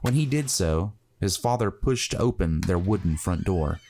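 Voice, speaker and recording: A man's voice: low-pitched, animated, measured pace, warm tone, a little hiss or hum. A man narrating a scripted fantasy story, with plucked guitar music playing behind him.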